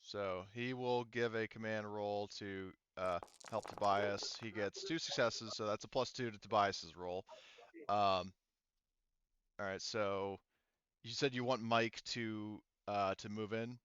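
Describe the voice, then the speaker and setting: A man's voice: fairly low pitch, steady tone, takes it slowly, a bit bright, smooth, dry recording, highs cut off. A man acting as game master, casually talking through rules and thinking aloud in a hesitant way. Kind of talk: casual tabletop game refereeing, explaining rules.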